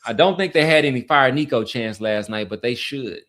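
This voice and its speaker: A man's voice: medium pitch, brisk, a crowd in the background. A man hosting a sports podcast in a casual, argumentative style, often jumping in to disagree. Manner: argumentative, interjecting.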